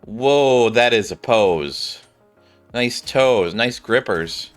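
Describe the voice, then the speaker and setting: A man's voice: mid-range voice, expressive, extremely slow, soft background music. A man streaming a video game, giving casual, rambling commentary as he reacts aloud.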